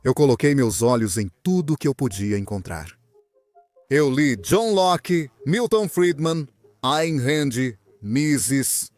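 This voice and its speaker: A young man from Venezuela, a guest in an interview, telling his story in an earnest, animated way. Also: medium pitch, slow, dry recording. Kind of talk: interview answers, personal story.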